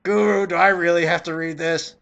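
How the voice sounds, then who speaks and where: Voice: mid-range voice, steady tone, fairly quick, fairly bright tone, dull audio. A reader giving a mock dramatic reading in a theatrical, exasperated way, breaking off for sarcastic asides. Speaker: reader of fan fiction.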